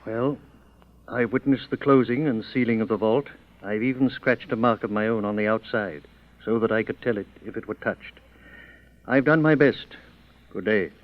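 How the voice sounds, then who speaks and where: A man's voice: on the low side, normal speed, natural voice. Male, man acting: dignified colonial governor, formal, resolute, old radio drama, thin tinny sound. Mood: formal, concerned. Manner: formal, courteous. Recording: tinny old narrow-band radio recording.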